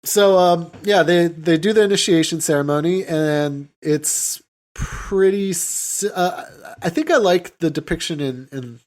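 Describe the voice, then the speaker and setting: A man's voice: fairly high pitch, unhurried. A man hosting a podcast, talking casually about films in a hesitant, rambling way with lots of "you know". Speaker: podcast host. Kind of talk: casual film discussion.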